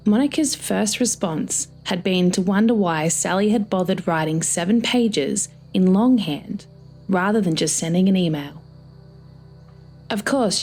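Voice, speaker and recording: A woman's voice: fairly low pitch, a little mellow. A woman reading scripted fiction as the narrator of a story.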